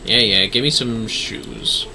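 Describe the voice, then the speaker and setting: Bright-voiced man chatting on a game stream. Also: on the low side, slow pace, creaky, slight background noise. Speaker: streamer playing a fantasy online game. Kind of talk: casual gameplay livestream chat.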